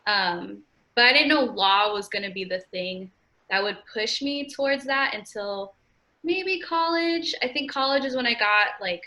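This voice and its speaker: Young woman, twenties, from California, bright tone, talkative, casual podcast guest. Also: medium pitch. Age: 20s. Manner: chatty, frequent "like" fillers, upbeat. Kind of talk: casual conversational interview. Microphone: remote call.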